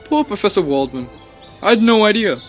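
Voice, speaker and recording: A man's voice: high voice, very animated, fairly quick, soft background music. A man playing a haunted, evasive scientist in a dramatic old-time radio drama style. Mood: evasive, weary. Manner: dramatic, theatrical. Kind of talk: old-time radio drama acting, first-person narration.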